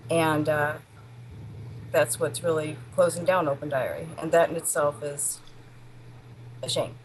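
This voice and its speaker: Middle aged lady w/ a deep voice, blunt matter of fact interview guest, bit of background noise. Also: steady tone, a little slow, poor audio. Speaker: interview guest, palm reader. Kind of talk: casual interview, personal storytelling.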